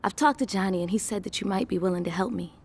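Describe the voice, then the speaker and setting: A proud, sharp-tongued singer. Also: female voice, mid-range voice, fast, breathy edge. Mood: pleading. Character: famous young female singer, proud and defensive. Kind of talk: video game cutscene acting.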